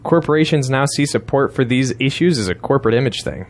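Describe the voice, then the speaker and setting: A man's voice: mid-range voice, lively intonation, fast, lightly acted. An actor as a blunt male campaign strategist. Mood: insistent. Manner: blunt, insistent, lecturing. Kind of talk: audio drama acting.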